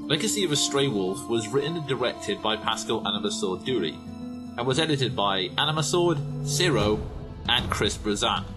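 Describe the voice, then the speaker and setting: A man reading out end credits in a plain, steady way. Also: mid-range voice, moderate pace. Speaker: credits announcer. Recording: background music under the voice.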